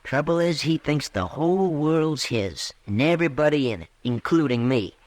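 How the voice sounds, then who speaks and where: An American actor playing a defensive, resentful young farm son in a radio western, with a rural accent and an evasive, clipped manner. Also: male voice, a little high, animated, normal speed, a little mellow, dry recording, natural voice. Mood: bitter. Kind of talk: 1950s radio western acting.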